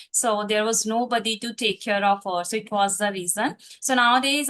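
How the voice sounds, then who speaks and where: Bright Indian woman host, politely formal. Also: fairly high pitch. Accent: Indian English. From Punjab, India. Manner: formal, polite, a little careful. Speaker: host, spoken English teacher. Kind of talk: polite interview on a video call.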